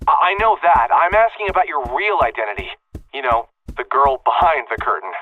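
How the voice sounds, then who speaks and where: A man's voice: medium pitch, animated, a little fast. A man playing an exasperated, probing young programmer in an anime-style game, heard through a radio-like filter. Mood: impatient, probing. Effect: radio-like transmission filter. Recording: thin phone-like radio filter, distant.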